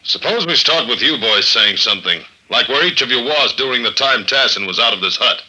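A man's voice: medium pitch, lively intonation, brisk, bright, forceful tone. A man playing a tough, blunt, sardonic investigator in an old-time radio drama. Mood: hard, commanding. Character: tough, hard-boiled male investigator, dry and commanding. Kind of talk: old-time radio drama acting with first-person narration. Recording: noisy old radio show recording.

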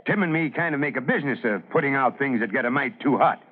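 An American man playing a steady, folksy veteran fire chief in an old-time radio drama, wry and understated. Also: mid-range voice, fast talker, close to an everyday voice. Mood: wry, agreeable. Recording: muffled vintage radio recording.

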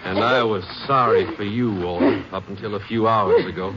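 An actor playing a folksy, questioning sheriff sidekick in an old-time western radio drama. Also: male voice, fairly low pitch, normal speed, lightly acted. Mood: puzzled, wary. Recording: muffled, noisy vintage radio recording.

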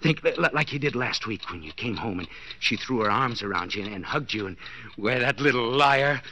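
An actor playing a desperate, guilt-ridden husband in a 1940s radio suspense drama, stammering and repeating himself breathlessly. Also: male voice, medium pitch, lively intonation, fairly quick, bright, forceful tone. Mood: frantic, tense. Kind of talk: 1940s radio suspense acting, interior monologue. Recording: noisy, dull 1940s radio recording.

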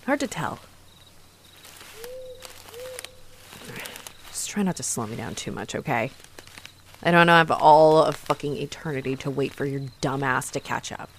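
A woman's voice: low voice, moderate pace, noisy background. A woman playing a haughty, sarcastic female vampire in a roleplay audio drama, mocking and theatrical as she taunts her rival. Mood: sarcastic, dismissive.

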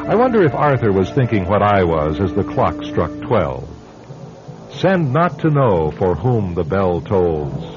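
A man narrating and hosting a scripted radio mystery in a dramatic, deliberate manner. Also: low voice, sing-song. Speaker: host, narrator and producer. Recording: dull radio recording, loud music behind. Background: loud music.

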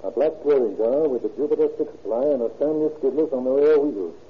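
An actor playing a firm, skeptical police detective, blunt and authoritative, in a classic radio drama. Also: male voice, mid-range voice, brisk, lightly acted. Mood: businesslike. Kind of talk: 1930s-40s radio police drama acting. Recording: tinny, hissy old radio recording.

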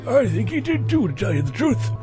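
A man's voice: very high voice, big pitch swings, a little fast, over music. An actor playing a chatty, folksy older man, a wry and self-mocking regular at the movie theater. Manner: folksy, rambling, chuckling.

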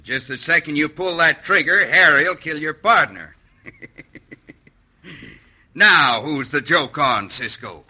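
An actor playing a tough, sneering bandit boss with gloating, slangy talk in an old-time radio western. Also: male voice, fairly high pitch, animated, slow pace, long pauses, pushed and bright, a little hiss or hum, exaggerated voice.

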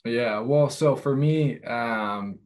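A man's voice: mid-range voice, takes it slowly, a little mellow. A young man, a college goalkeeper, answering interview questions casually with a lot of hesitation and restarts. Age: young adult. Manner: hesitant, many fillers and restarts.

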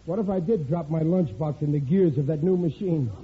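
An actor playing a gruff, self-pitying working-class husband in a 1940s radio comedy. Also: male voice, on the high side, brisk, lightly acted. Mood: grumbling. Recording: tinny old radio broadcast with hiss.

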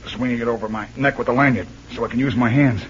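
An actor playing a bold, determined American adventure hero, plain-spoken and commanding, in a 1940s radio serial. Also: male voice, medium pitch, steady tone, quick pace, close to an everyday voice. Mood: alert, commanding. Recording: muffled old radio, faint noise.

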